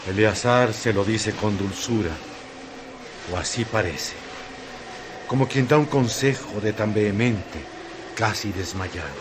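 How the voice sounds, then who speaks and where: A man narrating a literary radio drama in solemn, measured phrases. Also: on the low side, natural voice. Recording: noisy track with ambient effects.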